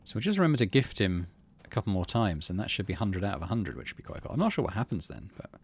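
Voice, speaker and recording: Male playthrough host, fairly low pitch, expressive delivery, casual commentary and story narration. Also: fast talker, breathy edge. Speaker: gaming channel host. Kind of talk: game playthrough commentary and read-aloud narration.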